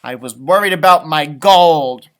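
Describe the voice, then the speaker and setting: A man's voice: a little high, unhurried, a bit bright. A man voicing a grumpy, theatrical leprechaun who tells his own tale in a storybook read-aloud. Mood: grumbling. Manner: grumbling, theatrical, exclaiming.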